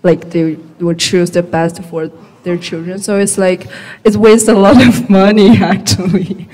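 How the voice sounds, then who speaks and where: A woman's voice: lowish voice, fairly even intonation, a little slow, a little mellow. A Chinese-born woman in her twenties, a student and non-native English speaker, answering hesitantly with many fillers. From China. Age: 20s. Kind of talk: class discussion answers. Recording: echoey lecture hall.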